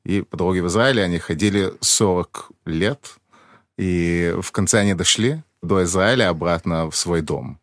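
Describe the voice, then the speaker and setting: A man in his thirties with a very low voice, talking as a podcast guest. He explains things plainly and simply, as if for a child, and the dry studio sound keeps him close to the mic. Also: normal speed, dry recording. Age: 30s. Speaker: embassy press secretary, guest. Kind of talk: casual interview.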